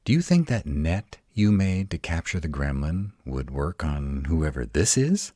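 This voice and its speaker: A man playing a grumpy, protective were-dragon in a roleplay audio, gruff and exasperated, rattled but defiant. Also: extremely low pitch, sing-song, warm tone, no room sound, studio quality, full character voice. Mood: rattled, uneasy. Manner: gruff, exasperated, halting one-sided dialogue.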